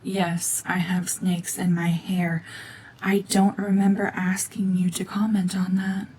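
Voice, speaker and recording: A woman voicing a cold, mean renegade gorgon witch in a hushed, half-whispered ASMR drama, domineering and sardonic. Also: medium pitch, monotone, a little slow, a little hiss or hum, lightly acted, poor audio. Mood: cold menace.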